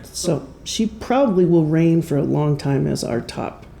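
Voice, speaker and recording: Halting, gravelly, mellow-voiced man. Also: fairly high pitch, expressive. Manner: halting, self-deprecating, hesitant. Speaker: author giving a presentation. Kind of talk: informal public talk from notes. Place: room at a public presentation. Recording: clear background noise, room ambience.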